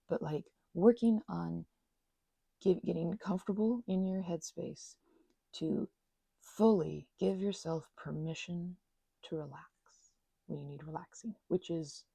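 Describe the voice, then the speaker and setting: A woman chatting gently in a meandering ASMR ramble, full of fillers and restarts, speaking affectionately to her listeners. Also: lowish voice, gravelly, husky, dry recording. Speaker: ASMR creator. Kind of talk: soft-spoken ASMR ramble while crafting.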